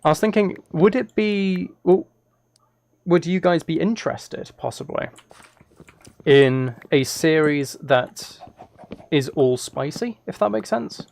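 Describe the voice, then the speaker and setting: A man's voice: fairly high pitch, slow pace, vocal fry, darkish tone. A man chatting casually on a live gaming stream, thinking aloud in a hesitant, rambling way.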